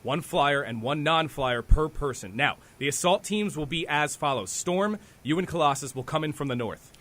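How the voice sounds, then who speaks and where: An actor playing a stern, dry-witted superhero team leader who gives clipped orders in an audio drama. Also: male voice, a little high, lively intonation, moderate pace, a bit bright. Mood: dry, commanding.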